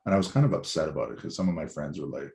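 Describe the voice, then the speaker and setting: A man in his forties, a musician guest with a raspy, hoarse voice, talking in a loose, rambling way that is sprinkled with swearing. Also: a bass voice, very fast, a little mellow. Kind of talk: casual chat.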